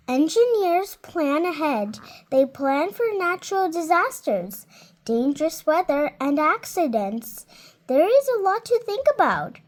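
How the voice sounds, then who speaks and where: A seven-year-old girl reading a children's nonfiction book aloud carefully, word by word, adding her own small comments. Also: high-pitched. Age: child, 7 years old. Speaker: child reader.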